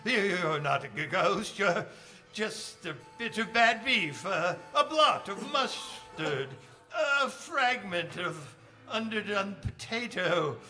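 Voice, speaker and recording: High, stammering old miser. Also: male voice, animated, slow, bright, forceful tone, soft background music, heavily acted. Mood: nervous defiance. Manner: stammering, quavering, blustering. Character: frightened, crotchety old male miser, defiant then pleading. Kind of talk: radio-style stage audio drama acting.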